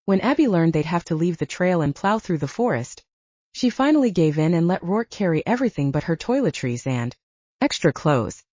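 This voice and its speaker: A woman narrates fiction in a low-set, husky voice. Her delivery is a scripted audiobook read with plenty of melodic movement. The sound is close and dry. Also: sing-song, moderate pace, darkish tone, no room sound, highs cut off.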